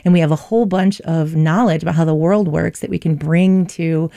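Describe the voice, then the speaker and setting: Woman language researcher, low warm voice, explanatory, relaxed fillers. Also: fairly quick, creaky, no echo, studio quality. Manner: confident, explanatory, with frequent fillers. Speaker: language learning expert. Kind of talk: explanatory conversation.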